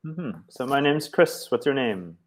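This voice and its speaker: A man from the United States, tutoring English over a video call in a relaxed, encouraging way with many short affirmations. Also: medium pitch, unhurried. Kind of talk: casual tutoring chat.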